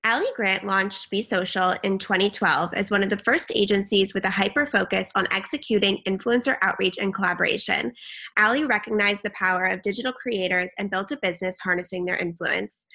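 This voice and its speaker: A woman's voice: mid-range voice, pushed and bright. A woman podcast host reading a scripted, formal introduction of a guest.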